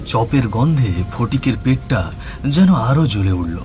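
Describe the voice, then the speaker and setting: A man's voice: mid-range voice, very animated, a little slow, dark tone. A man narrating a scripted horror story as the storyteller. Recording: music bed with rain and thunder.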